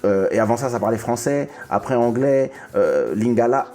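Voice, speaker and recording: A man's voice: mid-range voice, expressive, moderate pace, dark tone, faint music behind. A man from Congo hosting a casual chat, talkative and often stumbling and restarting his sentences. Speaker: podcast host.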